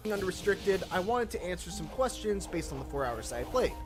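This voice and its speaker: A man with a fairly high voice walks through his game impressions in a loose, conversational way, dropping in fillers as he goes. A clear music bed plays under him throughout. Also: a little fast. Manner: relaxed, conversational, with frequent uh fillers. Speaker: games journalist. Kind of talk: casual gameplay impressions feature.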